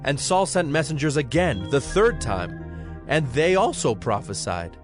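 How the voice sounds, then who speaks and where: A man's voice: a little high, sing-song, measured pace. A man narrating scripture from a script as the narrator of a dramatized audio production. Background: music under the voice.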